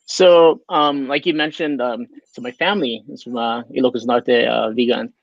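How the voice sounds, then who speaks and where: A man's voice: mid-range voice, steady tone, normal speed, gravelly, no echo. A man from Hawaii, a guest bartender, talking casually and easily in an interview over a video call. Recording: dull dry video call audio.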